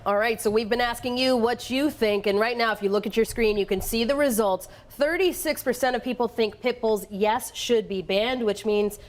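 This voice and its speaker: A woman's voice: a little high, normal speed, few pauses. A woman presenting television news as an anchor, in a broadcast delivery. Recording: faint noise, replayed TV news audio.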